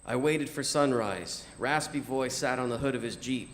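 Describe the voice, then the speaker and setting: A man reading a first-person story as narrator in a measured, theatrical, declamatory manner. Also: mid-range voice, a little hiss or hum. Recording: music behind the voice. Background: music.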